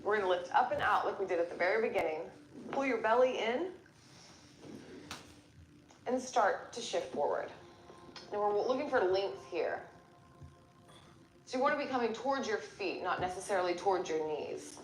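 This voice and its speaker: A woman yoga instructor guiding a class step by step with gentle encouragement. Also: mid-range voice, lively intonation, moderate pace, long pauses, slight background noise. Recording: roomy echo with music behind. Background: background music.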